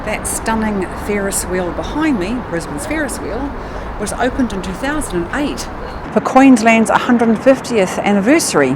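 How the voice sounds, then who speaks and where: A woman's voice: medium pitch, animated, normal speed. A woman with a New Zealand accent, filming a walking tour vlog and sharing facts in a chatty voice that is easily impressed. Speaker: travel vlogger. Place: outdoors in a city at night, by a river. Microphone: action camera. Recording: outdoor city noise in the background. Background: city street and traffic sounds.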